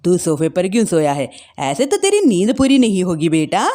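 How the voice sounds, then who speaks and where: A woman's voice: on the low side, wildly swooping pitch, moderate pace, mellow, voice pushed down. Lady putting on an older saas in a family tale, voice dropped down, bossy and scolding. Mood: concerned, bossy. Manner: scolding, commanding. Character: stern older mother-in-law, bossy and scolding.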